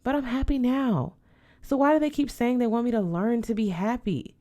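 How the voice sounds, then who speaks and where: A woman's voice: on the high side, moderate pace, warm tone, pitched above the performer's own voice, close to an everyday voice. A woman voicing an earnest, polite teenage schoolgirl who questions her elders in a storybook. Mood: puzzled, insistent.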